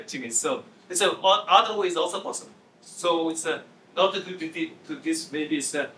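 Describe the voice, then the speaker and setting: A man's voice: a little high, sharp tone. Man heard from afar in an echoey hall. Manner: halting, many restarts while explaining word forms. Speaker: conference discussant. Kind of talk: academic Q&A discussion. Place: lecture hall. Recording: echoey room, distant mic, faint noise.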